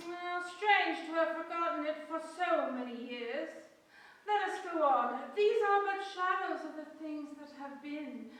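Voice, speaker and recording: A woman performs a probing Christmas spirit on stage. The voice is high and unhurried, with deliberate questions. It comes from far off in a very echoey room. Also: a bit bright. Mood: solemn. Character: stately, probing Ghost of Christmas Past. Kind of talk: staged dramatic reading before an audience. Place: small hall stage, distant camera, audience present. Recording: echoey hall from far off, faint noise.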